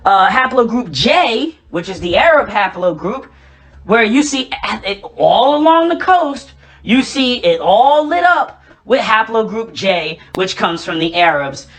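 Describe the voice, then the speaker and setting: A woman's voice: on the high side, sing-song, a little slow. Woman in a played clip, assertive, lecturing commentary. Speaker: video commentator. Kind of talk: argumentative commentary.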